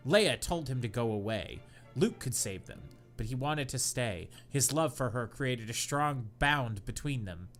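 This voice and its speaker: A man co-hosting a comedy podcast, reading bad fanfiction aloud in a deadpan voice that keeps cracking into laughter. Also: mid-range voice, unhurried, soft background music. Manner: reads deadpan, breaks into laughter.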